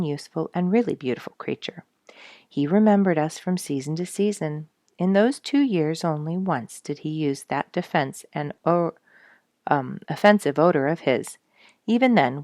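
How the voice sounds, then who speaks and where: Female storyteller for audiobook narration, low register, expressive and deliberate phrasing, close dry sound. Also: no echo. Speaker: storyteller reading an audiobook chapter.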